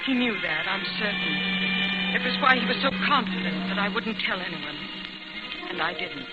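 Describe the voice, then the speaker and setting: A woman's voice: mid-range voice, lively intonation, normal speed, lightly acted. An actress playing an anxious, secretive young woman in a 1940s radio drama, tense and hesitant. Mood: subdued dread. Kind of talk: 1940s radio drama acting, first-person narration and dialogue. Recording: dull vintage radio recording, loud music behind.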